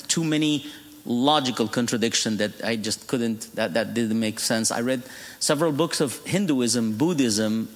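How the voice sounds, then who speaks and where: A middle-aged man with an Egyptian accent, Arabic his first language, speaks from a church pulpit as a guest preacher. He tells his story with humor and often laughs at his own jokes. His mid-range voice rings out into a long, echoing hall. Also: medium pitch. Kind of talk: sermon testimony.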